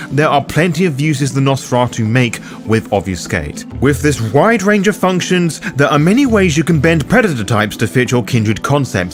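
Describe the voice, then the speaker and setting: A man narrates a scripted guide as its host. His mid-pitched voice rises and falls in an animated way, with a wry, informal manner. Music plays behind him. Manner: wry, casually sweary asides. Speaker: host of a roleplaying game guide.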